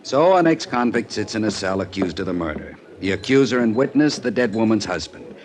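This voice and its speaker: Male actor as world-weary American police lieutenant, middle-aged, gruff and irritable, lower register, vintage radio crime drama. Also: expressive, fairly quick, close to an everyday voice.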